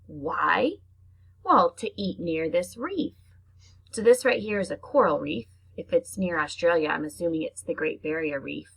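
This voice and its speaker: Husky woman reading to children. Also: on the low side, animated. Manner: simple, child-directed explaining, slow deliberate reading alternating with chatty asides. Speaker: elementary teacher reading to pupils. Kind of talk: children's read-aloud lesson with explanations.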